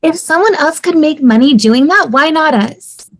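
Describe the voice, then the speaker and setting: A woman acting as an eager young wife at a table read. Her voice is high and she talks persuasively, in an everyday conversational style. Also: animated, normal speed.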